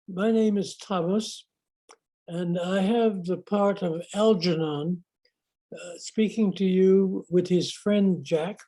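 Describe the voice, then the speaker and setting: A woman's voice: on the low side, mellow, low-quality recording. A woman actor plainly introducing the monologue to be performed.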